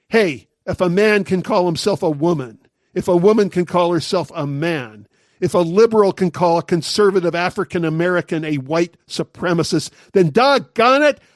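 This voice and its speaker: High-voiced man in his sixties, dry sound. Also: no room sound. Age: 60s. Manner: wry, sarcastic punchlines. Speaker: commentary host. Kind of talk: talk show monologue.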